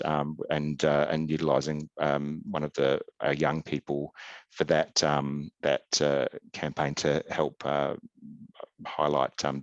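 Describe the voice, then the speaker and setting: A middle-aged man giving a webinar slide presentation over a video call, halting, with many ums and restarts. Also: a bass voice, no room sound, highs cut off. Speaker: health service presenter. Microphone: video call webcam.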